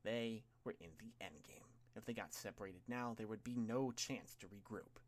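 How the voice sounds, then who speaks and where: Low-voiced man narrating dramatic fiction. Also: moderate pace. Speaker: audiobook narrator of fan fiction.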